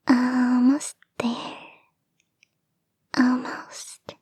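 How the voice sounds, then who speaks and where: Breathy, whispering, clingy fox-spirit girlfriend, acted by a woman. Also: high-pitched, darkish tone, no room sound, studio quality, exaggerated voice. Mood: playful, coaxing. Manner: coy, doting, playfully teasing. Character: clingy, affectionate, teasing young female fox spirit girlfriend. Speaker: voice actor in a roleplay. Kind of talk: ASMR roleplay, intimate and whispered.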